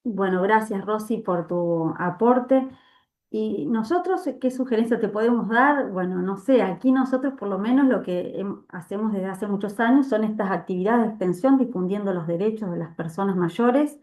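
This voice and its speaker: Measured woman in an echoey room. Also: mid-range voice, darkish tone. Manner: measured and explanatory. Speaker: seminar moderator. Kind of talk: academic discussion. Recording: echoey room on a video call.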